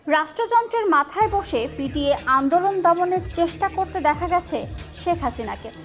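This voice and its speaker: A woman's voice: very high-pitched, expressive, brisk. A woman reading a scripted news narration as a reporter. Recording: faint music behind voice. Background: faint background music.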